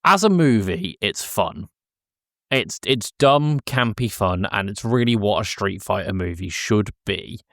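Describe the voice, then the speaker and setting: A man's voice: on the low side, animated, a little slow, dry recording, studio quality. A man in his thirties co-hosting a podcast, giving casual, opinionated talk about film. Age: 30s. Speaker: podcast co-host.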